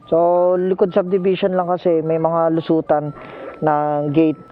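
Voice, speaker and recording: A man's voice: fairly high pitch, level tone, unhurried, phone-quality sound, music in the background. A Filipino man chatting casually as a motorcycle taxi rider and vlogger, polite and jokey, into a helmet intercom microphone. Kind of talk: casual ride vlog chat. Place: outdoors on a motorcycle on the road.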